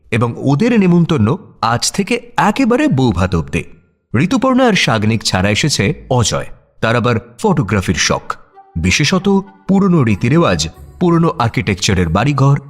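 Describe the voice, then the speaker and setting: A man's voice: mid-range voice, breathy edge. A man narrating a scripted audio story in a dramatic storytelling style.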